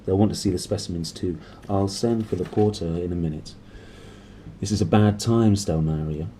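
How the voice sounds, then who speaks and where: A man's voice: deep voice, moderate pace, warm tone, slight background noise, close to an everyday voice. A man voicing a commanding, aloof aristocratic explorer in a storybook, speaking curtly. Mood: businesslike.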